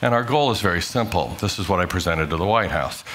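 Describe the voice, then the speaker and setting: Deep-voiced man giving a measured talk. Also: animated, a bit bright, a little hiss or hum. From grew up in Hong Kong. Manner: measured, emphatic lecturing with rhetorical questions. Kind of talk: prepared conference talk played in class.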